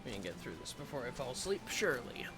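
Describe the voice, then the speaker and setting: A man's voice: medium pitch, sing-song, quick pace, over loud music. A man livestreaming a video game with casual chat, muttering to himself as he plays. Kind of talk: casual gameplay chat mixed with reading dialogue aloud.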